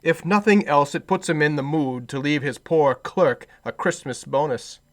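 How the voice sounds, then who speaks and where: A man's voice: fairly high pitch, moderate pace, natural voice. A man playing a cheerful, forgiving nephew in a radio play, speaking in a hearty, earnest way. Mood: earnest, pitying. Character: Scrooge's cheerful, forgiving, good-humored nephew.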